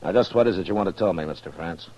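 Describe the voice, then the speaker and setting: Casting: unflappable western lawman, low gravel-edged husky voice, dry authority, 1950s radio drama. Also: rapid-fire. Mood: stern. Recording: muffled old 1950s radio recording.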